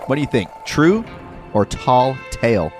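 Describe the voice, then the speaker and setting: A man's voice: medium pitch, sing-song, a little slow. A man hosting an educational podcast for children, speaking directly and encouragingly to young listeners as he presents facts and games. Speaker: host of a kids' educational podcast. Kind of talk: scripted narration and game hosting for children. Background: background music.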